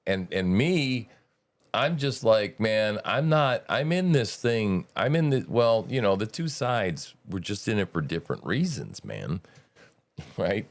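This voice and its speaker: A middle-aged man with a very low, deep voice talks loosely on a talk show. He rambles, restarts his sentences and leans on folksy slang. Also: big pitch swings, unhurried. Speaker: host. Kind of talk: casual political talk.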